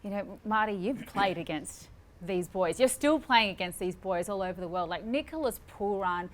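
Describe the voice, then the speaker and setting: A woman's voice: mid-range voice, lively intonation, moderate pace. A woman hosting a sports panel discussion, putting questions to her guests. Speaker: host of a cricket discussion show.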